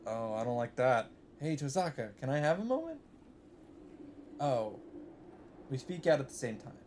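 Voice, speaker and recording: A man hosting a let's play, reading visual novel text aloud in an even voice and breaking in with blunt, swearing asides. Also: mid-range voice, moderate pace, a little hiss or hum. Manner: reads narration evenly, breaks in with swearing asides.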